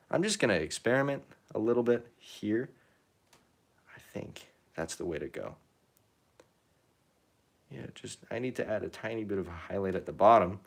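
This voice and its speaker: Young guy livestreaming his painting, lowish voice, rambles a lot, upspeak creeping in. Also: gravelly. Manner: rambling, self-deprecating, reads chat comments aloud; pitch rises at phrase ends, upspeak. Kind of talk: casual live-stream chat while painting.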